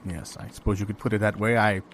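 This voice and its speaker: Male actor as eccentric young bankrupt, lowish pitch, rambling and evasive, improvised audio comedy with slight background noise. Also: fairly even intonation, quick pace, lightly acted. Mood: hesitant, rambling. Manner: hesitant, literal-minded, rambling.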